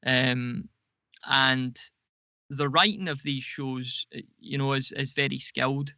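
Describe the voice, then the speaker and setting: A man talks through his points as an interview guest, in a creaky voice with a crisp, bright edge. The sound is close and dry. He pauses and rephrases as he explains. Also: medium pitch, steady tone, no room sound. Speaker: podcast guest, audio drama producer.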